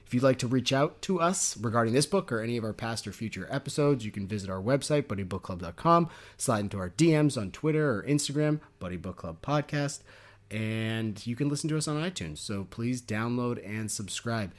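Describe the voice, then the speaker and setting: Young male podcast co-host, casual, jokey, quick to laugh. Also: mid-range voice. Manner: jokey and animated, laughs often. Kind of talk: casual book chat.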